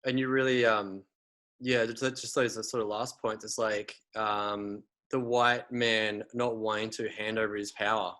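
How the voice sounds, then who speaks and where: A man's voice: on the low side, level tone, measured pace, a bit bright. A man, hosting a casual conversation and rambling with many fillers and restarts.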